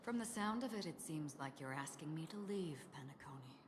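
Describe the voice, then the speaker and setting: A woman voicing a cool, unflinching and dangerous game character with a measured, deadpan menace. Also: low-pitched, background noise. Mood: cool, defiant. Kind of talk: video game voice acting. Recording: clear music behind the voice.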